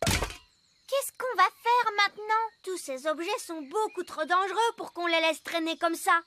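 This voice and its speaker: A woman's voice: very high-pitched, measured pace, noisy background. A woman voicing a young, inquisitive fairy princess in a children's cartoon, childlike and questioning. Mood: concerned. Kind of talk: animated children's cartoon dubbing. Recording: music behind the voice.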